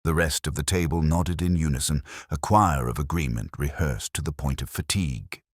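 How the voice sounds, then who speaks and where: A man narrating a literary detective story in the first person, in a measured, solemn and reflective manner. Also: very deep voice, no echo, clean studio sound.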